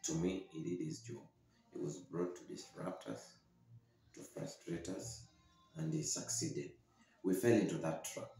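A young man giving solo football commentary as a podcast host, halting and downcast, speaking into a desk microphone in a small room. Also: low voice, gravelly, mellow. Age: young adult. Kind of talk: solo post-match commentary to camera. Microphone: desktop podcast microphone, close. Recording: very echoey room.

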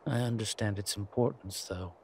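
A man playing a centuries-old vampire in a roleplay audio drama, suave, wry and protective, speaking in a measured, theatrical way with deliberate pauses. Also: lowish voice. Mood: grave, reluctant. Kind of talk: scripted roleplay audio drama addressed to the listener. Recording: clear background ambience noise.

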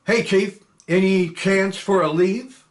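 A man's voice: high-pitched, takes it slowly, voice pushed up. A man reading a play aloud, voicing a brash, boastful young male airman who bullies and taunts. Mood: eager. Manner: loud, boastful, laughing.

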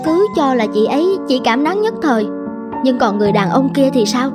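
A woman playing an earnest, easily swayed young wife in an animated drama. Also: high voice, a little fast, big-room sound. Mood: concerned, reflective. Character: young married woman, materialistic but softening, easily swayed. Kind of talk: Vietnamese animated drama dubbing. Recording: music playing behind the voice.

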